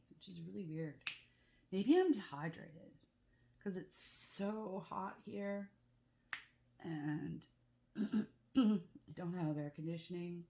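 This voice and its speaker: Woman, casual craft chat, soft dark tone, slightly low, rough cold-clogged throat, confiding and meandering. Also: a little hiss or hum. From Canada. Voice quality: rough, croaky. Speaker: craft vlogger. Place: at home with the back door open.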